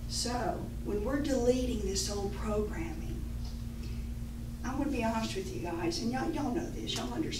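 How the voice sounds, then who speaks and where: A woman, giving an inspirational spiritual teaching in a deliberate, emphatic, repetitive way. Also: a little high. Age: 50s. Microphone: distant microphone. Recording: echoey room, distant mic, clear background noise.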